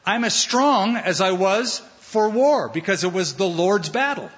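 A man preaching a sermon as pastor, animated and playful, acting out the voices he quotes. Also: high-pitched. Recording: dull sound in a large hall with long reverb.

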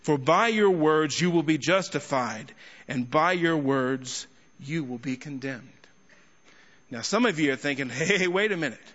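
A man preaching an expository sermon to a congregation, speaking emphatically with rhetorical questions and deliberate pauses. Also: fairly high pitch, animated, a bit bright. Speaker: guest preacher and teacher. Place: large indoor church hall. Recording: echoey large hall, slightly muffled sound.

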